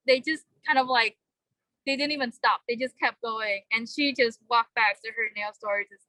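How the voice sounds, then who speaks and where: A young woman from Vietnam giving a halting, earnest personal speech at a vigil, with many fillers. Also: on the high side, bright, forceful tone, no echo, low-quality recording. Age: young adult. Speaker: vigil speaker.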